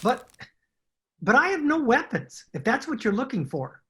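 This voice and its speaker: A woman playing a nervous, evasive man in a radio detective drama, husky, hesitant and formally polite. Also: fairly high pitch, big pitch swings, moderate pace, background noise. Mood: nervous, defensive. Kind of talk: old-time radio detective acting. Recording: home recording over video call.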